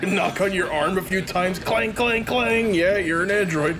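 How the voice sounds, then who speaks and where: A man's voice: high voice, unhurried. A man giving casual, joking gaming commentary in a sarcastic, sweary way. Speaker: let's play co-host. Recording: voice over loud game music. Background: game music and sound effects.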